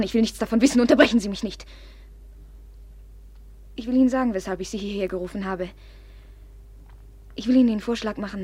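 An actress in a 1950s radio play playing a proud, impulsive young noblewoman who flares up imperiously and then drops to a hush. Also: mid-range voice, breathy edge, dry recording, lightly acted. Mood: teasing, imperious.

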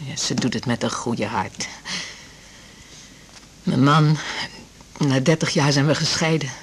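An actress in a radio drama playing a chatty, anxious divorced patient in her fifties, rambling and confiding in a breathy voice. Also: extremely low pitch, measured pace, full character voice. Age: 50s. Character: chatty, anxious fifty-year-old divorced female patient. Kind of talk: naturalistic radio drama dialogue.

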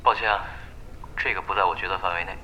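A man's voice: sits low, steady tone, fast, noisy background, natural voice. A man in an audio drama playing a guarded veteran pro gamer, courteous and wry. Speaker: voice actor in a radio drama. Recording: music behind the voice.

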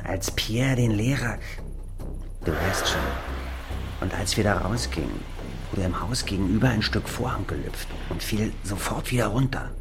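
Nervy crude crook muttering to himself, lowish voice, loud music behind, radio crime play. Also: male voice, lively intonation, normal speed. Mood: anxious, sullen. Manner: muttering, vulgar, breaking into whispers. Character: nervous crude male small-town conspirator, muttering and resentful. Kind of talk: German radio crime drama acting.

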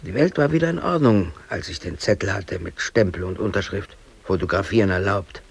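Aggrieved working man in his thirties, deep-ish gravelly voice, brooding confession, colloquial, intense, radio drama. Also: fairly quick, exaggerated voice. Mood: bitter recollection. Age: 30s. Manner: colloquial, emotionally intense, self-justifying. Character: brooding, aggrieved East German bakery worker under arrest.

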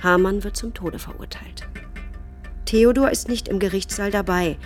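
A woman's voice: medium pitch, moderate pace, lightly acted. An actress playing a grave female narrator in a radio play, with a solemn, reporting manner. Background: music.

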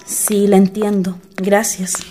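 An actress playing the dignified, loyal daughter of a president in a historical radio drama, speaking formally and carefully. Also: female voice, medium pitch, flat delivery, slow, mellow, faint music behind. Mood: grateful, resolved.

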